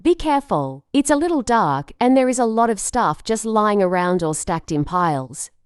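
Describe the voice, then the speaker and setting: Woman as caring mother, practical, storybook dialogue, crisp smooth voice, lively pitch, dry close recording. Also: moderate pace, no room sound, natural voice. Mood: firm, caring.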